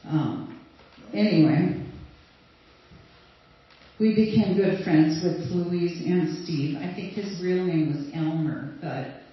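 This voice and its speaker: A woman's voice: lowish voice, takes it slowly. An older woman from Sweden telling casual, rambling stories to an audience, with fillers and light laughs. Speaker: guest speaker sharing memories. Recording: distant, dull, very roomy recording.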